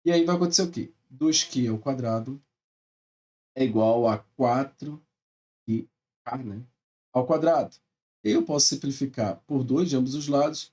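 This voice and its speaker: A man's voice: medium pitch, a little mellow, recorded from a distance. A male math teacher with a Brazilian Portuguese accent, explaining step by step in a recorded lecture and often checking in with his students.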